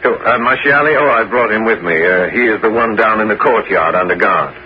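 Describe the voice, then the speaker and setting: A man in a 1970s radio drama playing a scheming, smooth-talking bishop, coaxing and commanding with feigned politeness. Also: on the low side, a little fast, close to an everyday voice. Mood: smooth, persuasive. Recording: noisy, dull 1970s radio broadcast.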